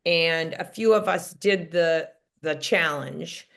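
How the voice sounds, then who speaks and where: A woman's voice: low-pitched, takes it slowly, creaky. Chatty wry woman caller. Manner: chatty storyteller, wry, many fillers. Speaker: caller, teacher. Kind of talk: sharing on a coaching call.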